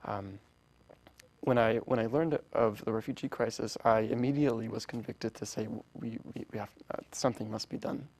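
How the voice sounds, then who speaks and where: A young man whose first language is Polish, speaking as a guest in an interview, earnest and halting with many restarts. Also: on the low side, steady tone, darkish tone, rough recording. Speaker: orchestra founder, guest. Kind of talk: interview conversation.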